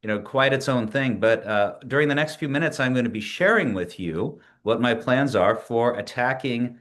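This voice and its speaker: A man in his late forties presents his project over a shared screen at a mid pitch. He talks in a measured way, pausing often with filler sounds and restarting words, like a student presenting to a seminar. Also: fairly bright tone. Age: 40s.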